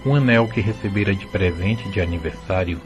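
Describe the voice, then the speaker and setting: A man with a Brazilian accent narrates a fantasy audio drama as a storyteller. His voice is fairly low, and soft music runs behind the reading. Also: a little fast.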